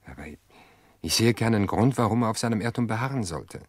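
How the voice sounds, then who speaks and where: An actor playing a calm brother-in-law in a radio drama, soothing and dismissive in a measured way. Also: male voice, lowish voice, no room sound. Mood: reassuring. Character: calm, reassuring brother-in-law, reasoning away suspicion.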